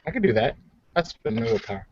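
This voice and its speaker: A man with an average-pitched voice banters as a player in a tabletop roleplaying game. His talk is casual, teasing and full of wisecracks. The sound is a little dull and lo-fi. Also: big pitch swings, brisk, a little hiss or hum. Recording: muffled lo-fi recording.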